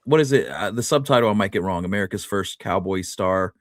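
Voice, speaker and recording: A man co-hosting a history show in casual chat, informal and halting as he corrects himself. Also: low voice, no echo, studio quality. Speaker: co-host of a history talk show.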